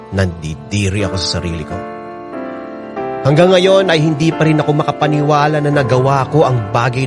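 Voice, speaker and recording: A man reading a scripted first-person story as a dramatic radio narrator. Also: mid-range voice. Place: radio studio. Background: background music.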